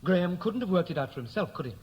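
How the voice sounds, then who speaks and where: An actor playing a defiant, independent adult son, wounded, in a 1960s radio play. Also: male voice, sits high, expressive, racing, breathy edge, lo-fi sound. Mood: exasperated, blunt. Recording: hissy 1960s radio recording.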